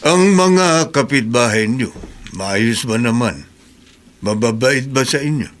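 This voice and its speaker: A man's voice: mid-range voice, takes it slowly, natural voice. A man playing a kindly fatherly neighbor. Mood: gentle. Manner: gentle, fatherly. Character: kindly older male neighbor cousin. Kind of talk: radio drama acting.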